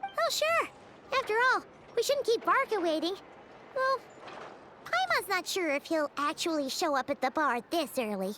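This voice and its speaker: A woman voicing a tiny, childlike fairy companion in a video game, squeaky, chirpy, curious and exclamatory. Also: very animated, moderate pace, background noise. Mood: musing. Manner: refers to self in third person, exclamatory. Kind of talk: video game character dialogue. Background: music.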